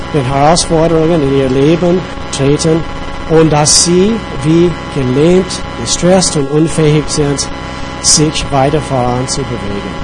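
A man's voice: a little high, low-quality recording. A man preaching a sermon in church with a cold, talking in an earnest, conversational way with a few hesitations. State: has a cold.

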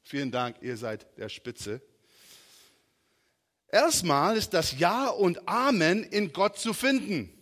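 A middle-aged American man, a native English speaker with an American accent, preaches in a large, echoing hall. His voice sits a little high and swings animatedly up and down. He speaks slowly and haltingly, in an informal way, feeling for his words. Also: sing-song, a bit bright. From southern and western United States. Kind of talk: sermon. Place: large reverberant room, preaching to a congregation. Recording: reverberant hall.

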